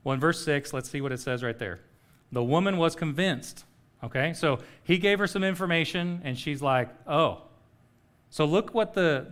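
A man's voice: a little high, fairly bright tone. A middle-aged man preaching a sermon in a conversational way, often checking in with "okay?". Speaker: pastor.

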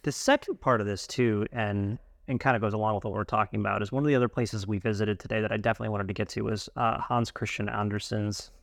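American guy co-hosting a podcast, fry in his voice, chatty and rambly, dry close sound. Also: on the low side, fairly even intonation, quick pace, hardly any pauses, dry recording. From United States. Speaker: podcast co-host. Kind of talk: casual conversational podcast talk.

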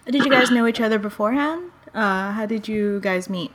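A woman born in the Philippines hosts the interview. She asks friendly questions and keeps the guests going with quiet murmurs of assent. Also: mid-range voice, normal speed. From Philippines, born in Quezon City. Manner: attentive, frequent affirming murmurs.

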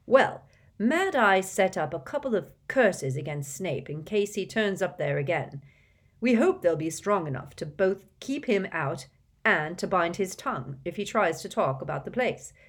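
A woman's voice: on the low side, animated, normal speed, pitched above the performer's own voice. A woman voicing a grave, cautious wizard man who explains things seriously.

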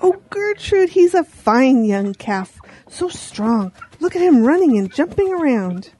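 Very high, gushing cartoon lady pig. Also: very animated, a little slow, mellow, a little hiss or hum, low-quality recording. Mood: admiring. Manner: gushing, dramatic. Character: excitable motherly female farm pig. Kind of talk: children's audio drama acting.

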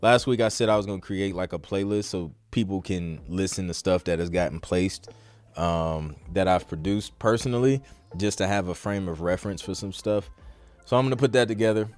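A man's voice: deep voice, a little fast, darkish tone, soft background music. An American man hosting a podcast, chatting casually through a live Q&A in an informal, slangy, rambling way. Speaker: podcast host.

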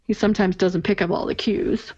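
A woman co-hosts a casual fan podcast, adding wry, laughing asides to the conversation. Her voice comes through a dull, lo-fi recording that lacks brightness. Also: medium pitch, fairly even intonation, fairly quick, slightly rough. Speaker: podcast co-host. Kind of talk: casual fan discussion chat. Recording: dull lo-fi sound.

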